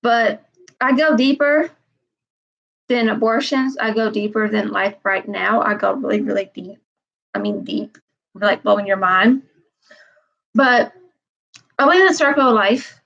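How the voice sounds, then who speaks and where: A woman's voice: a little high, a little slow, gravelly, long pauses, a bit bright, lo-fi sound. A woman in her twenties chatting casually on a live stream, wandering and repeating herself. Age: 20s. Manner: meandering, repeats herself, frequent "um". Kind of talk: rambling casual live chat.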